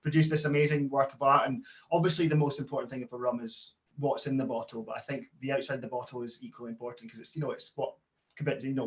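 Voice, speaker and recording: Man, fast talker, mid pitch, friendly, laughs easily. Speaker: brand co-founder, marketing. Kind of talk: casual interview chat.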